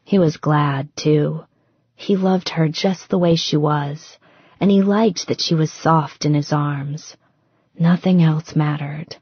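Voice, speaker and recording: Woman, romance audiobook narration, lowish pitch, slow reading, slightly breathy grain, dry sound. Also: steady tone, dry recording, highs cut off. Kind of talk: scripted romance fiction narration.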